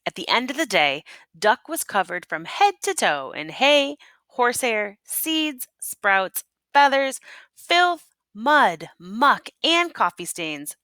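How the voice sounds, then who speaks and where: Female storybook reader, teacher type, lively swooping intonation, questioning asides, dry recording. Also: on the high side, takes it slowly, no room sound. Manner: teacherly, prompting listeners with questions. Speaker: teacher reading a picture book aloud to children. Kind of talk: expressive read-aloud storytelling with asides and questions.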